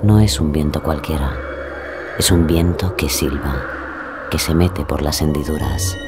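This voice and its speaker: Casting: male storyteller with an exceptionally low voice, suspenseful scripted narration, prominent music underneath. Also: a little slow.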